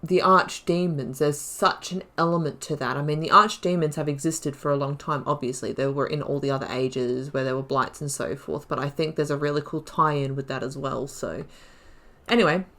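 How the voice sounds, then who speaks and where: A woman's voice: deep voice, fairly even intonation, moderate pace, fairly bright tone. A woman hosting a gaming video, reading lore aloud and adding informal, self-correcting asides. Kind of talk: reading game lore aloud with casual commentary.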